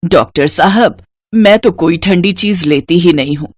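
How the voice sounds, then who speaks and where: A woman voicing a gentle, caring elderly mother-in-law in a moral story, speaking in a patient, motherly way. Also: on the low side, unhurried, no echo, studio quality. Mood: plaintive. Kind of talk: scripted Hindi moral-story cartoon voice.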